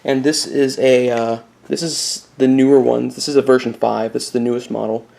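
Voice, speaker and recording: Casual man with a creaky voice. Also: mid-range voice, little pitch movement, moderate pace, dark tone. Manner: casual, explanatory. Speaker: YouTube collector and host. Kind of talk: informal product showcase with buying tips.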